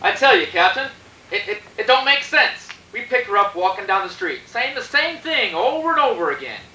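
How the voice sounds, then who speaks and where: A woman's voice: on the high side, big pitch swings, moderate pace, sharp tone. A woman playing a baffled, slangy police officer in an old-time radio drama, stammering with emphasis. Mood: agitated, baffled. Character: agitated, baffled police officer reporting to a captain. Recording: echoey distant stage, faint noise.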